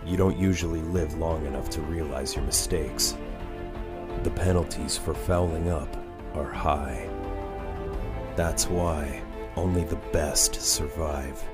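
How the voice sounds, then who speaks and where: A man playing a grim, stern vigilante mentor in a comic dub, brooding and terse, with measured delivery. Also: a bass voice, fairly even intonation. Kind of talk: comic dub narration and dialogue. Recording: music behind the voice.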